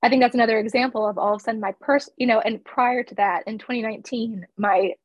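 A woman's voice: fairly high pitch, steady tone, fast, no room sound, lo-fi sound. A woman from the East Coast who owns a flower shop, talking candidly in a casual interview with fillers and laughs. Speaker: florist and shop owner.

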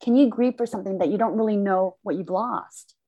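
A woman born in Korea, speaking in a reflective conversation with thoughtful, halting phrasing and frequent restarts. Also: mid-range voice, dark tone, lo-fi sound.

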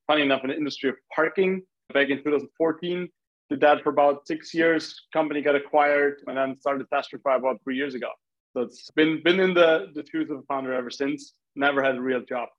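A man's voice: mid-range voice, steady tone, fairly quick, dull audio. A man from Austria with an Austrian accent, talking casually as a founder being interviewed on a podcast. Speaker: startup founder, podcast guest.

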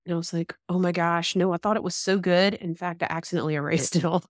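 Southern woman from Georgia, 30s, low voice, dry close sound, easygoing podcast guest. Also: fairly even intonation, fast, no room sound. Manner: easygoing, laughs, self-deprecating. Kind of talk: casual interview chat.

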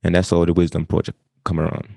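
A man, talking as an interview guest in an energetic way, often repeating and restarting phrases. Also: low-pitched, quick pace, gravelly, slightly rough, dry recording, studio quality. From Jamaica. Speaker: guest, wisdom coach. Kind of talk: casual interview talk.

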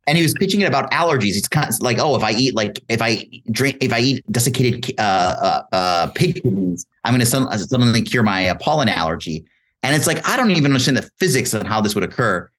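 A man's voice: mid-range voice, expressive, quick pace, fairly bright tone. A young man, a guest doctor, explaining things in a casual interview.

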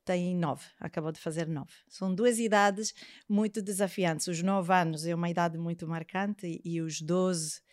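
A woman's voice: lowish voice, fairly even intonation, normal speed, a little mellow, no room sound, studio quality. A woman in her forties with an Italian accent, a parenting therapist talking reflectively as an interview guest. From Italy. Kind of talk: casual interview.

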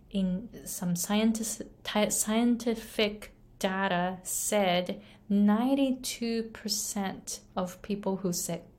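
A woman's voice: mid-range voice, a little mellow. A young adult woman from Japan with a Japanese accent, an English pronunciation coach speaking earnestly and chattily to camera, often tagging "right?" and laughing lightly. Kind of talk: casual motivational talk to camera.

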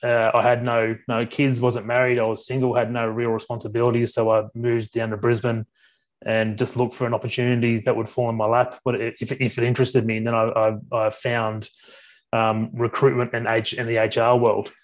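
An Australian man in his forties from Sydney, a workplace advisor talking casually as a guest. He speaks haltingly, with many restarts and ums. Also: medium pitch, completely flat delivery. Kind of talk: casual interview talk.

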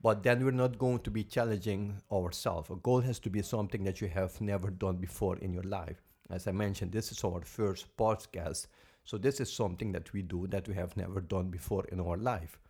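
Low-voiced Dutch-accented man, earnest podcast co-host, explanatory tone. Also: steady tone, normal speed, warm tone, breathy edge. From Netherlands. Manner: earnest, explanatory, repeats "basically". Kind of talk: casual podcast talk.

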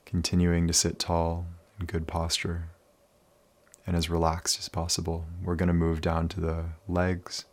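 A man leading a guided meditation in a calm, soothing, unhurried manner with many pauses. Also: low voice.

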